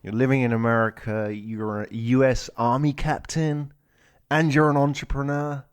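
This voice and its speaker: A man's voice: mid-range voice, fairly even intonation, moderate pace, clean tone. Male podcast host, friendly interviewer, leading questions. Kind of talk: interviewing an entrepreneur.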